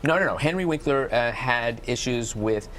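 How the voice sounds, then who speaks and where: Deep-voiced male sign interpreter. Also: expressive, moderate pace, fairly bright tone, slight background noise. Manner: speaks in first person for the guest. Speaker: sign language interpreter voicing a guest. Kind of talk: live TV interview, speaking as interpreter. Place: TV studio.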